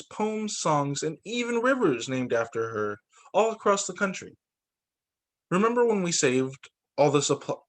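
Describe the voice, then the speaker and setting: A man, a teacher, reading a picture book aloud to students in an expressive, deliberate storytelling way. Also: on the high side.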